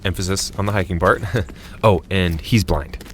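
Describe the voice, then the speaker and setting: A man's voice: low-pitched, fairly even intonation, moderate pace, fairly bright tone, a little hiss or hum. A man playing a weary, exasperated hiker who guides his friend with dry, grudging remarks in an audio comedy drama. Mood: dry, uneasy.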